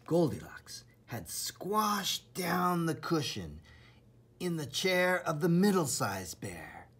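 A man reading a children's storybook aloud in an expressive, dramatic, deliberate way, with a husky, breathy voice. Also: fairly high pitch, slight background noise.

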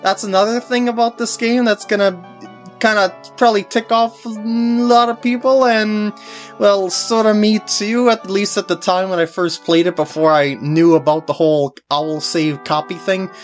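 A young man giving casual, unscripted walkthrough commentary in a halting way with wry asides. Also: high-pitched, fairly even intonation, soft background music.

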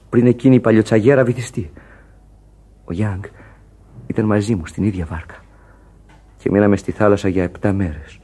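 An actor playing a rough, loyal sailor in an old radio drama, gruff and given to swearing, swinging between hushed grief and anger. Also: male voice, lowish voice. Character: loyal rough sailor mourning a dying shipmate. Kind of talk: vintage radio drama acting.

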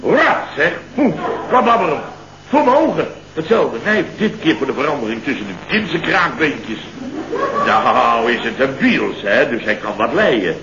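Blustering, excitable radio-comedy father, swooping delivery. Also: medium pitch, measured pace. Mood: indignant. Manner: theatrical, indignant storytelling with mimicked quotes. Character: blustering, excitable middle-aged Dutch father and builder. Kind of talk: late-1960s Dutch radio comedy acting. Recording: dull, roomy, noisy old radio recording.

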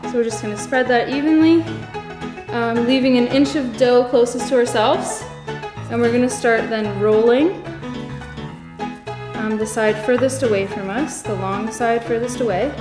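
Young woman showing how to bake, highish voice, steady and upbeat, echoey kitchen w/ music playing. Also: normal speed. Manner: instructive, upbeat, steady step-by-step explaining. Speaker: guest baker. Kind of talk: cooking demonstration. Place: large home kitchen.

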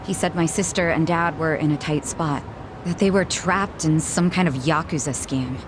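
A woman's voice: deep voice, normal speed, noisy background, natural voice. An actress playing a worried, earnest young woman in a dramatic video game. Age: young adult. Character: worried young hostess, guarded, earnest twin sister.

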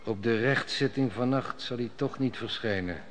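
A man's voice: mid-range voice, moderate pace, a bit bright, soft background music, natural voice. A man playing a cynical, mocking court steward in a radio play, who drinks too much and schemes at court. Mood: scheming. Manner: sardonic, mocking. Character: sly cynical male royal steward, heavy drinker. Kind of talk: 1960s radio drama acting.

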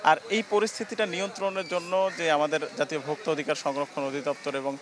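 A man's voice: fairly high pitch, fairly even intonation, fast talker, background noise. A young man reporting live from an outdoor market into a handheld mic, explaining with frequent hesitations. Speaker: field reporter. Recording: faint outdoor market ambience.

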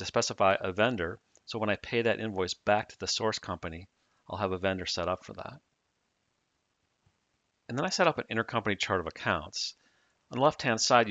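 Male software demo narrator, lowish pitch, crisp forward tone, plain step-by-step delivery. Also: no room sound. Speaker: software demonstrator. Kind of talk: scripted tutorial narration.

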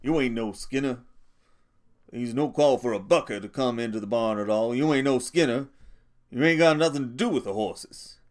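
A man's voice: medium pitch, moderate pace, lightly acted. A man reading an audiobook, voicing a proud, bitter older stable hand who speaks sharply and curtly. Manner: sharp, curt.

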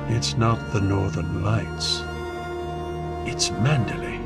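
An actor playing a troubled, brusque English gentleman husband in an old-time radio drama, terse and intense. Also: sits low, slow, creaky, over loud music, full character voice. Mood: alarmed.

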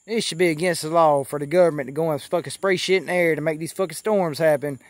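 A man's voice: fairly high pitch, fairly even intonation, quick pace, dry recording. A man, tipsy and ranting in a drawling, profane, aggrieved way on his own live stream. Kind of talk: rambling profane rant.

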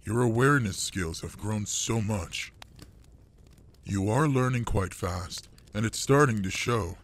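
A man playing a gruff older male monster adventurer in an intimate audio roleplay, fond and teasing with a human partner. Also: lowish voice, measured pace. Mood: grateful.

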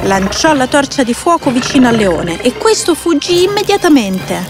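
A woman's voice: sits high, sing-song, normal speed. A woman narrating a scripted fairy tale for children as a storyteller. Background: faint music.

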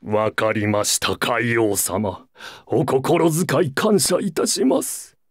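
An actor playing an ancient, wise alien elder in an anime video game, solemn, weary and gentle. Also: male voice, mid-range voice, sing-song, slow pace, no room sound, clean studio sound. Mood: calm, weary.

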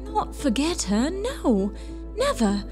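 Woman acting a high, slow-spoken Victorian sister. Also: wildly swooping pitch, music in the background, exaggerated voice. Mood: consoling. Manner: theatrical, earnest. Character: concerned, gentle sister in Victorian household. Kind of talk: Victorian audio drama acting.

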